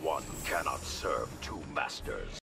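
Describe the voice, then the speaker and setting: A man playing a grim, honourable ninja grandmaster, grave and formal in fighting game dialogue, with a gravelly voice. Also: slow pace, very noisy, full character voice. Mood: grim, challenging. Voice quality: very deep, gravelly. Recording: loud music and effects behind the voice.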